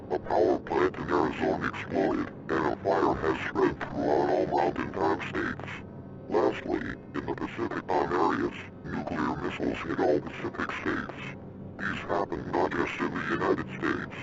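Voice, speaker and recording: A man reading a scripted emergency broadcast in a solemn, formal manner. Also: rumbling low. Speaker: emergency alert announcer. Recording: dull lo-fi broadcast sound.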